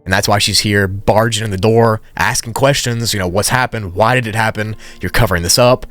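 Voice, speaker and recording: Low-voiced man casually retelling a story. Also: fairly even intonation, brisk, a bit bright, no room sound. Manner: conversational, informal asides. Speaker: video narrator, storyteller. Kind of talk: casual story recap commentary.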